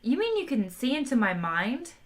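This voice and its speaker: A woman's voice: a little high, big pitch swings, moderate pace. A woman voicing a bewildered, polite man, speaking in a slightly raised, puzzled tone. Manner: puzzled, fretful.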